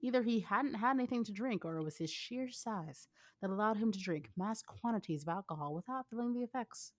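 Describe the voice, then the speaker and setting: A woman's voice: medium pitch, animated, a little fast, no echo. A young woman reading fan fiction aloud as informal narration, with a casual, wry manner. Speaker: co-host, fan fiction reader. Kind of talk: informal story narration with banter.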